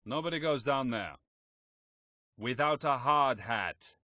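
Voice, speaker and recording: Forceful, officious site guard. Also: male voice, mid-range voice, expressive, takes it slowly, no echo. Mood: suspicious, stern. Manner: confrontational, emphatic. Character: gruff, officious site guard, stubborn and suspicious. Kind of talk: scripted video game cutscene acting.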